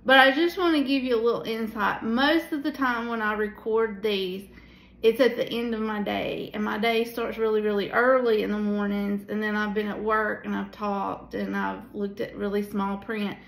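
A tired woman in her forties leading a casual Bible study from a small room at home, talking into a phone held at arm's length, with many pauses and fillers. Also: medium pitch, fairly even intonation, creaky, reverberant, low-quality recording. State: tired at the end of the day. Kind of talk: casual devotional teaching. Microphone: phone camera at arm's length.

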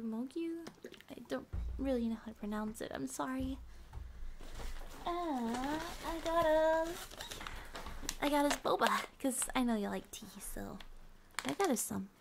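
Woman acting a high-voiced sleepy girlfriend. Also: slow pace, creaky, long pauses, slight background noise, heavily acted. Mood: drowsy, affectionate. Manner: soft, drowsy, hesitant, giggly. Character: sleepy, affectionate young student girlfriend. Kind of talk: ASMR girlfriend roleplay.

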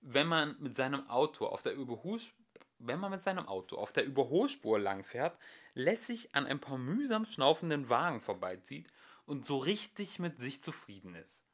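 Lively male audiobook reader. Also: normal speed. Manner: lively, shifts pitch and loudness for each character. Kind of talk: amateur audiobook reading with character voices. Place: home room, indoors.

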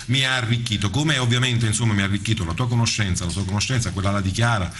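Middle aged radio host guy, talks fast, jokey, bit of studio noise. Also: fairly low pitch, a bit bright. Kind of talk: live radio chat. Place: radio studio with several people. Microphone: studio microphone with headset.

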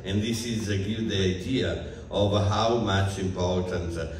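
Deep-voiced older man, halting introduction. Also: steady tone, slight background noise. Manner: halting, many fillers. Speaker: event presenter. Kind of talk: formal introduction. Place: bookstore event room. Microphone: handheld mic, far camera. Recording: echoey room from far off.

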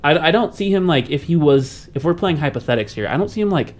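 A young man casually discussing a game story, informal and halting as he rephrases his thoughts. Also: fairly high pitch, expressive, a little hiss or hum. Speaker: podcast panelist posing questions. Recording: music playing behind the voice. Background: background music.

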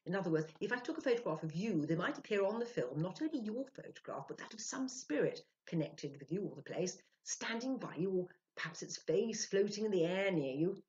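A woman's voice: mid-range voice, lively intonation, fairly quick, few pauses, darkish tone, voice pushed up, close to an everyday voice. A woman in her sixties voicing an earnest, self-important male spirit medium in a storybook reading, emphatic and self-justifying. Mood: eager, emphatic. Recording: dull lo-fi home recording.

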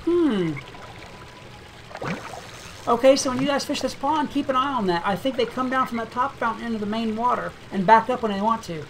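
A gamer talking through play in a relaxed, musing way, thinking aloud at a middling pitch. Clear game music runs steadily under the voice. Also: moderate pace, low-quality recording. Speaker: game video creator. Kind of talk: casual gameplay commentary.

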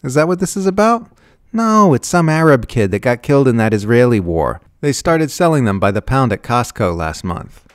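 A man's voice: medium pitch, very animated, moderate pace, clear, resonant tone, dry recording, studio quality, pitched above the performer's own voice, lightly acted. A man playing a stern, dismissive old grandfather who scolds and placates his family.

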